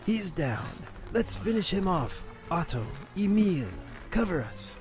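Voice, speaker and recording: A man's voice: high-pitched, very animated, a little slow, music in the background, voice pushed up. A man playing a menacing armed henchman in an amateur audio drama. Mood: urgent.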